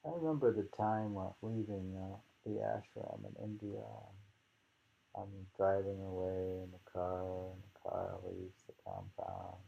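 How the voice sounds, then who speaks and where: A man reading poems aloud in a slow, halting, musing way, repeating lines and adding informal, rambling commentary, on a dull lo-fi recording. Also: low voice, creaky, mellow, husky. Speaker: reader and commentator of poetry.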